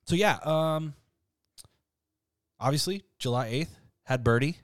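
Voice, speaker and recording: A man in his thirties with a deep voice, hosting a casual podcast chat in a relaxed, freely swearing way. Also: slow, dry recording, studio quality. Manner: laid-back, swears freely, laughs often. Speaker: podcast host.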